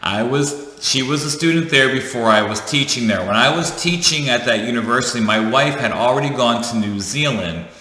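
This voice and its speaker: Man in his 30s, vlogger, bright tense tone, jokey storyteller, recorded in a reverberant room. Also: medium pitch, normal speed, hardly any pauses. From United States. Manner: chatty, jokey, self-correcting. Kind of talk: casual storytelling to camera. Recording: echoey room with long reverb.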